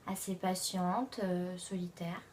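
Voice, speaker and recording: Young woman, calm soft answers, slight noise behind. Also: fairly low pitch, slow pace. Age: young adult. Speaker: interviewee. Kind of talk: casual interview answers.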